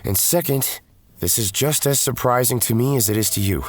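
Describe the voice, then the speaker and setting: A man's voice: medium pitch, normal speed, natural voice. A man playing a weary, defensive former hero pulled back into duty in an audio drama, with music behind him. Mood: startled, defensive. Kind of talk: audio drama acting with first-person narration.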